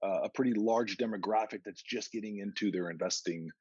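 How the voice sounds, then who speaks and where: A husky-voiced man speaks as an investment analyst on a conference call, laying out market commentary methodically and conversationally. His voice sits a little low. The audio is dull and lo-fi. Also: fairly quick, darkish tone, no echo. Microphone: conference call line.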